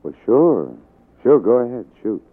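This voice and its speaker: An actor playing a frank but kindly film producer in a 1940s radio drama, halting and deliberate as he softens blunt words. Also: medium pitch, big pitch swings, husky. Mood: genial. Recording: muffled 1940s radio broadcast recording.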